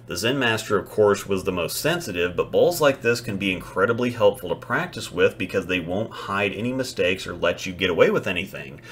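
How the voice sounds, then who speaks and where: Deep-voiced guy doing a bowling lesson voiceover, matter-of-fact, breaks down each shot. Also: animated, a little fast, hardly any pauses, fairly bright tone, a little hiss or hum. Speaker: bowling instructor. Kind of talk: scripted instructional voice-over.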